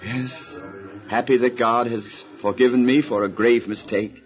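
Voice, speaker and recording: An actor playing a humble, devout Hebrew patriarch and husband, earnest and solemn, in an audio Bible drama. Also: male voice, medium pitch, fairly even intonation, moderate pace, lo-fi sound, soft background music, natural voice. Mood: grateful, happy.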